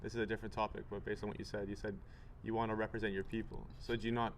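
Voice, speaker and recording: A man's voice: on the low side, little pitch movement, very fast, slight background noise. A man from Canada, interviewing someone in a casual, conversational way.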